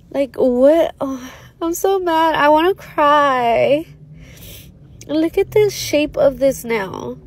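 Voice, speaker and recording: Brief: distressed woman, high pitch, slow pace. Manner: upset, slow and pleading. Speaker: customer showing her nails. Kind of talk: complaint video.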